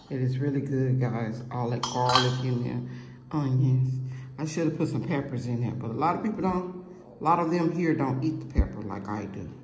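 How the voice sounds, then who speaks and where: A woman rambling warmly through her cooking vlog, talking straight to her viewers from behind the camera at a home kitchen table. The room bounces her voice back noticeably, and a faint hiss sits underneath. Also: extremely low pitch, roomy sound, rough recording. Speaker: cooking vlogger. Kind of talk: casual cooking vlog chat.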